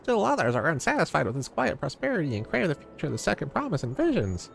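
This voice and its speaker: An eager young villager from a fantasy game, acted out by a man, with a high and wildly swooping voice. The small loyal guide explains things earnestly, a gravelly edge in the sound and music underneath. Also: huge pitch swings, fast, a bit bright, slight background noise, heavily acted. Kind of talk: fantasy game dialogue read aloud.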